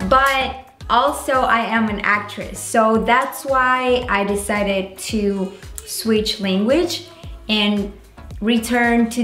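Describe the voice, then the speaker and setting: A bubbly young woman in her twenties, a native Italian and Russian speaker, introduces her vlog to the camera. Her voice sits a little high and she talks slowly and carefully. The small room rings with a roomy echo, and faint music plays underneath. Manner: bubbly, animated, careful deliberate delivery. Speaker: vlogger. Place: small indoor room with pastel tassel backdrop.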